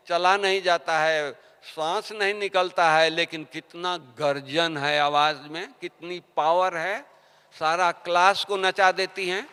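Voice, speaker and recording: A man giving a devotional sermon, telling stories and asking rhetorical questions, his voice swinging between soft and loud. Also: high voice. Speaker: spiritual teacher. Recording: long hall reverb.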